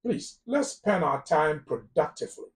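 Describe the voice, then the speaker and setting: A man's voice: fairly high pitch, very animated, normal speed, recorded from a distance, a little hiss or hum. A man with a Ghanaian English accent giving immigration advice on a live phone-in talk show, emphatic and repeating words for stress. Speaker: immigration lawyer guest.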